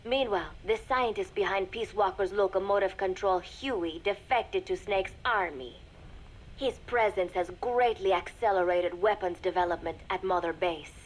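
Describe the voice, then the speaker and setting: A woman voicing a composed young female spy in a video game audio log, speaking formally with measured, deliberate diction. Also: mid-range voice, natural voice. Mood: cool, calculating. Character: composed young female spy secretly reporting to a shadowy master. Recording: noisy cassette tape recording with ambience.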